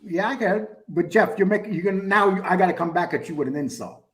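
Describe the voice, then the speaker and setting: A man's voice: high voice, quick pace, fairly bright tone. A man from New York in casual crosstalk chat, brash and profane, often laughing at himself. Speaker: show guest.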